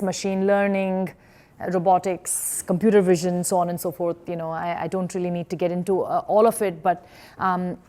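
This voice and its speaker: A woman's voice: mid-range voice, a little mellow. A woman in her forties, born in India and raised in Singapore, giving a conference talk as an engineer turned investor, speaking hesitantly with many fillers and restarts.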